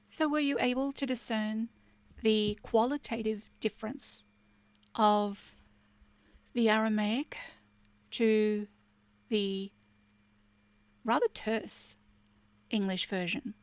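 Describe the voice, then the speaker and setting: A woman spiritual teacher giving an informal talk, chatty with fillers and restarts, turning soft and hushed when she reads prayer lines. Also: a little high, steady tone, slow, long pauses, no echo.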